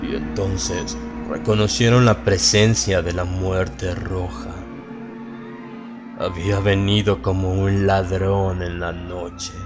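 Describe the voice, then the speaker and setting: A man with a low voice narrates a scripted horror tale. His delivery is solemn and theatrical, with a Latin American Spanish accent. Music plays behind him. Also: flat delivery, slow. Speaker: audiobook narrator. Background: background music.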